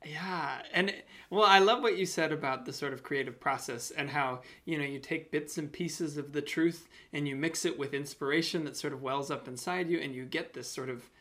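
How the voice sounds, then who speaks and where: A man's voice: on the high side, normal speed, few pauses, pushed and bright. A man hosting a conversational podcast interview, reflective and appreciative as he responds and asks questions.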